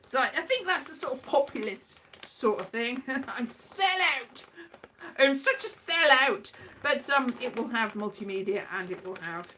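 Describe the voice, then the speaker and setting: Woman from Wales, middle-aged, bright forceful tone, very animated intonation, scatty casual rambling, digressive, thinking out loud. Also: sits high, measured pace, slight background noise. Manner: scatty, digressive, thinking aloud, muttering asides to herself. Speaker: writer and performer, host.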